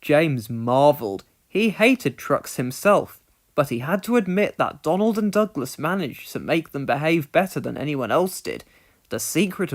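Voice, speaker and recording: Expressive storybook narrator, lively intonation. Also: mid-range voice. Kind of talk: children's storybook read-along narration.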